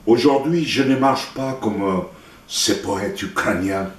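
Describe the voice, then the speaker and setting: Slow, echoey Chilean man reciting poetry. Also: fairly low pitch, slight background noise. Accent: Chilean Spanish. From Santiago, Chile. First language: Spanish. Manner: deliberate, declamatory, with pauses between short phrases. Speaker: poet reading own work. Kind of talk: solemn poetry recitation in French. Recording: roomy echo, distant microphone.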